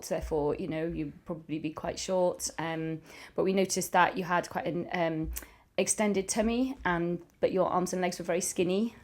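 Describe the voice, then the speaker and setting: A middle-aged woman from Cardiff with a Welsh accent, a guest telling family stories in a measured, steady way. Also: on the low side. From Cardiff, Wales. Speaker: guest, primary school teacher. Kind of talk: casual family interview.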